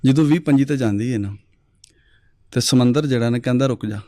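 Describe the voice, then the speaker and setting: A quick-talking man, spinning moral stories and acting out each figure's lines. His voice is mellow and dark, and the sound is dry and close. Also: medium pitch, dry recording. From Pakistan. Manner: animated storyteller who acts out the story's lines. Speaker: storyteller guest. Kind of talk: telling moral stories in a chat show.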